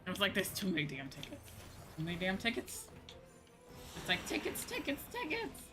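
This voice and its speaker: A young American woman livestreamer chatting casually, animated and sweary, acting out exchanges with exasperation. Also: mid-range voice, brisk. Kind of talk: casual chat while gaming on stream. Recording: background music under the voice. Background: music.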